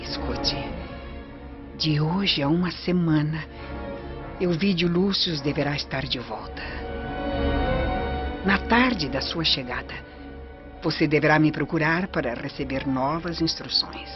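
A woman's voice: deep voice, unhurried, pauses often. A woman playing an imperious, scheming noblewoman in a radio drama, with a Brazilian accent and a formal, commanding manner. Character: imperious, scheming Roman noblewoman, coldly ambitious. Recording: muffled radio drama with prominent music.

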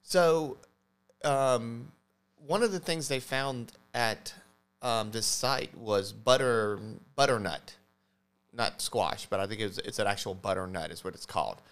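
A man co-hosting a podcast, explaining things casually in a halting way, often stammering and correcting himself. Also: medium pitch, expressive, a bit bright, clear, resonant tone. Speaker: podcast host.

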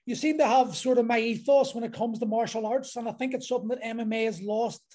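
A man hosting a relaxed podcast chat. His voice is mellow and warm, and his thoughts ramble, with many restarts and long strings of clauses. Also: very high voice, fast talker. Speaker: podcast host. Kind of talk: casual conversational interview.

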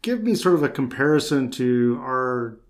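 A man acts as interviewer on a casual podcast. His voice sits at a medium pitch, and he talks in an informal, folksy, conversational way. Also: lively intonation, moderate pace.

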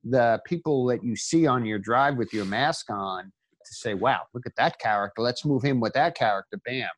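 A man's voice: mid-range voice, moderate pace. A man co-hosting a casual talk about writing, rambling emphatically and acting out imagined thoughts as he encourages the listener. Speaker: podcast co-host and writer. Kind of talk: animated casual talk, motivational riffing on writing.

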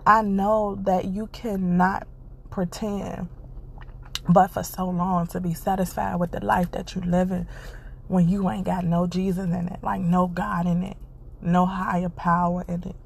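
A woman's voice: on the low side, flat delivery, unhurried, creaky, a little hiss or hum. A woman hosting a podcast, giving an earnest, encouraging casual monologue and repeating her phrases, congested and stuffy. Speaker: podcast host.

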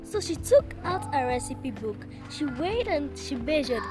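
Slow high-voiced young pupil reading a story. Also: very animated. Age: child. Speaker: school pupil reader. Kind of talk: read-aloud storytelling. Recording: loud music behind the voice.

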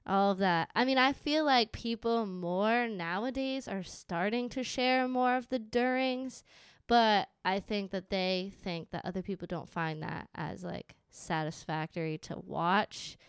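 A woman talking casually as a podcast co-host, chatty and quick with relatable asides. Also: mid-range voice, clean tone, no room sound, studio quality. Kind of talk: casual conversation.

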